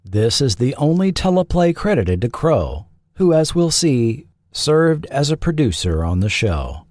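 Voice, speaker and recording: A man narrates a scripted documentary with deliberate care. His pitch moves expressively while the pace stays slow, and the recording is close and dry. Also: big pitch swings, a little mellow, no room sound. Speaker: podcast host and narrator.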